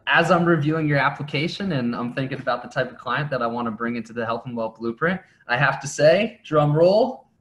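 A man's voice: medium pitch, a little fast, hardly any pauses, fairly bright tone. A young man working as a coach, talking in an upbeat, slangy bro style on a video call from home. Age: young adult. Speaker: health and business coach. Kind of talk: conversational sales call. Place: home basement room.

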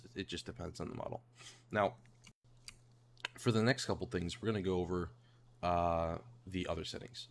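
A man narrating a casual software tutorial in a hesitant, rambling way, repeating himself. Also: sits low. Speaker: tutorial presenter. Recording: home mic with clear background noise.

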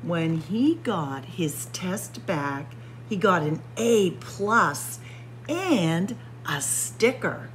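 A woman reads a spooky picture book aloud for children, her lowish voice swooping widely between hushed whispers and dramatic highs. She takes her time and savors each line. A faint hum sits under the voice. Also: lively intonation, very slow. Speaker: children's librarian. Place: library with bookshelves.

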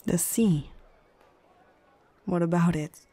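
Girl doing an orc chieftain lady for asmr roleplay, breathy whispery and husky, real slow, stern and threatening. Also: lowish voice, warm tone. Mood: grim, threatening. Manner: slow, deliberate, short measured phrases. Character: stern female orc chieftain, proud, menacing, weary.

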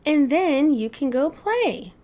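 Lady doing a bossy tidy mom dinosaur from a kids book, high and slow, calling out orders. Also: very animated, mellow, exaggerated voice. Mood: firm. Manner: calling out, firm instructions. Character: firm mother dinosaur who likes tidiness.

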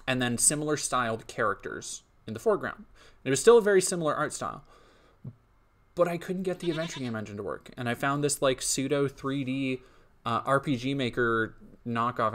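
Brief: young male streamer, drowsy and a bit sniffly, meandering casual chat full of restarts. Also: medium pitch. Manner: rambling, trails off with frequent ums and restarts. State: a little sniffly and sleepy. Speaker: streamer and indie game developer.

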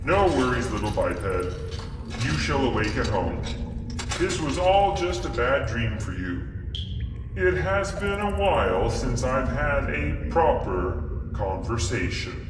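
A man's voice: mid-range voice, big pitch swings, takes it slowly. A man voicing a lonely, imperious dragon, speaking in a pompous, formal and possessive way in an audio drama. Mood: calm, wistful. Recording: echoey cave reverb, distant, noisy.

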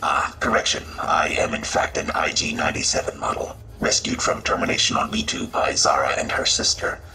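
An actor playing a polite, apologetic combat droid with formal, precise speech and a robotic filter, in a sci-fi audio drama. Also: male voice, extremely low pitch, little pitch movement, a little fast, bright, forceful tone, recorded from a distance, heavily acted.

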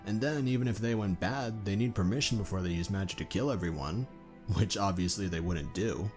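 A man playing a clever, inquisitive young male dragon with a chatty, expressive delivery in an audio drama. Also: deep voice, brisk, faint music behind. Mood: impressed, rambling.

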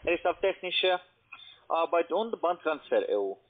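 A man with a foreign accent in German, a non-native speaker giving instructions in halting, broken sentences on a phone call. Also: fairly high pitch, sing-song. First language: not German. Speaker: phone scam caller posing as payment agent. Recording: muffled narrowband phone line.

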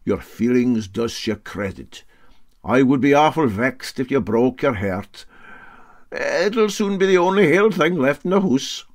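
A man's voice: medium pitch, lively intonation, unhurried, voice pushed up. A man voicing a canny, genial and boastful Scottish boat skipper with a sly, sing-song drawl, in a storybook reading. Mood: dryly agreeable.

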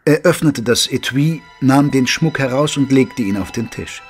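An actor playing an observant gentleman who narrates an audio drama in a measured, literary manner. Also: mid-range voice, natural voice. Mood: measured, admiring. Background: music.

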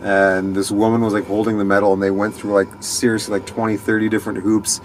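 A man's voice: on the low side, little pitch movement, quick pace, slight background noise. A man in his thirties, a ski racer being interviewed in a vehicle, talking earnestly in a rambling way with many restarts. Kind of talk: casual interview chat. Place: inside a small parked vehicle at night.

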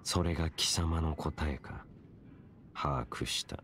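A man voicing a cold, mysterious young wandering warrior in a Japanese game, speaking in a measured, stern, formal way. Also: very deep voice, fairly bright tone, slight background noise, full character voice. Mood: grave, measured.

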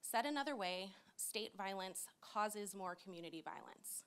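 A woman's voice: mid-range voice, animated, normal speed, clean tone. A woman from the United States in her forties giving a passionate conference talk with a handheld mic. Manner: earnest, passionate. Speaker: conference speaker. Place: conference room with audience.

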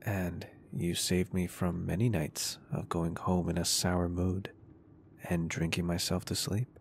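A male performer plays a confident, protective businessman in an intimate ASMR roleplay. His voice is low with a gravelly fry, and he talks in a polite, reassuring and earnest way. There is noticeable background noise behind him. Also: level tone, unhurried. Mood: tender, earnest. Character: caring, flirty, confident male business owner, gently protective.

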